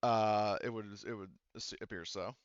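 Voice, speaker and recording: A man speaking casually and haltingly as a game master, often rewording himself as he explains and narrates a tabletop game. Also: lowish voice, steady tone, clean tone, no echo, highs cut off. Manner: halting, self-correcting, informal with swearing.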